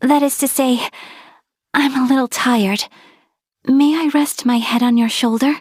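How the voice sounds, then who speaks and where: A young woman playing a refined, gracious noblewoman in a video game, speaking in formal, politely measured diction. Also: high-pitched, fairly even intonation, darkish tone, dry recording, clean studio sound. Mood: hesitant, gentle. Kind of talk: video game character voice lines.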